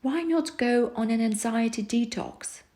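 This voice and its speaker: A woman reading rhyming self-help poems aloud in a soft, soothing, unhurried way. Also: on the high side. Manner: soft, soothing, slow recitation. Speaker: poetry reader.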